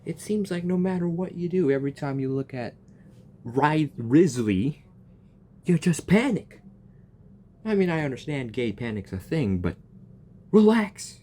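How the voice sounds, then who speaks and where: A man's voice: a little high, sing-song, unhurried, long pauses, dark tone. A young man reacting to a video game, rambling and joking and tripping over his words. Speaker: gaming reaction youtuber. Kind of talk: casual reaction commentary.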